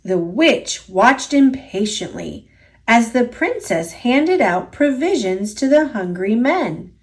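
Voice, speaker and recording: A woman reading a children's picture book aloud as a storyteller, expressive and deliberate, drawing out key words. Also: a little high. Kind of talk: children's story read-aloud, scripted narration.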